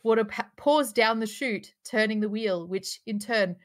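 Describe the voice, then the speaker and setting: A woman's voice: a little high, moderate pace. A woman reading out screenplay stage directions as narrator in a live table read over a video call. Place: indoors, on a group video call.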